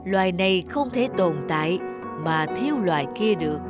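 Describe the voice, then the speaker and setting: A woman voicing a wise, patient old male tree spirit who teaches in a measured way in an animated fable. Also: lowish voice, music in the background, natural voice. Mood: patient, explaining. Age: older. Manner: measured, didactic, gently instructive.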